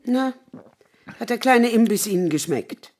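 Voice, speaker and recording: Brusque, grumbling older city housewife, swooping pitch. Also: female voice, lively intonation, measured pace, a little mellow, soft background music. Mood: curt. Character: gruff, suspicious grey-haired city housewife, stingy and bossy. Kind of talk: radio drama acting.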